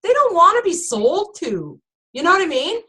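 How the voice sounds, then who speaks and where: A woman with a high, bright, sharp voice that swings widely in pitch. She gives her sales team a casual, motivating pep talk. She is emphatic and keeps turning to her listeners. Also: normal speed. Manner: emphatic, animated, keeps addressing listeners as "you guys".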